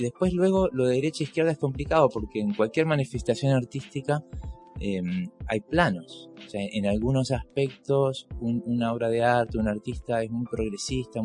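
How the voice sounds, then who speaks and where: A man's voice: mid-range voice, a little mellow. A man speaking as a theatre researcher in an academic panel discussion, thoughtful, with many hesitations and tag questions.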